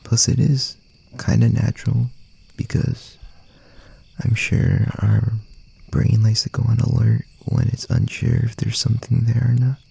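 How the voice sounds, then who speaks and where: A man playing a gentle, teasing young boyfriend in an intimate ASMR roleplay, murmuring softly and reassuringly in a breathy, gravelly voice. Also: animated, exaggerated voice. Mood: soothing, reflective. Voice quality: soft, breathy, husky, gravelly low murmur. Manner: unhurried, rambling, reassuring, with pauses.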